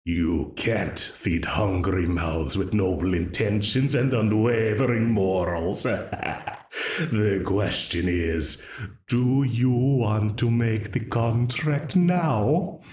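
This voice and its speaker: Menacing demon voiced by a man for an audio drama: mocking, condescending, slightly low voice, unhurried. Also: darkish tone, echoey room. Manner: mocking, condescending, laughs often. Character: smug ancient male demon dealmaker, menacing. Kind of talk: ASMR audio roleplay.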